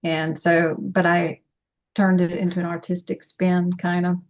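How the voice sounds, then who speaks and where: A woman chatting with a host over a video call, relaxed and chatty with frequent laughter. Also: lowish voice, a little slow. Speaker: poet at open reading. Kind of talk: casual chat. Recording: muffled lo-fi video call audio.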